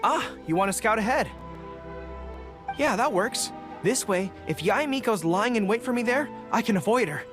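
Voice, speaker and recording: Young military leader, sincere, loyal, slightly awkward, animated intonation, anime game, background music. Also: male voice, high voice, normal speed. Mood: cautious, flustered. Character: earnest young dog-eared male general, loyal, slightly flustered. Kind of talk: English anime video game voice acting.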